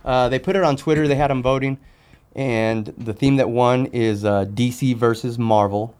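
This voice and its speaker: A man's voice: mid-range voice, fairly even intonation, normal speed, a little mellow, clean tone. A man chatting casually as a podcast guest, talking in a candid, slangy way. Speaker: podcast guest, sneaker customizer. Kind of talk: casual chat.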